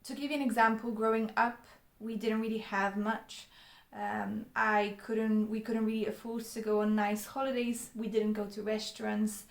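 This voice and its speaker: A woman's voice: medium pitch, level tone, moderate pace, creaky, roomy sound. A young woman from Poland, Polish her first language, telling her life story to the camera in an earnest way with frequent ums and restarts. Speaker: vlogger.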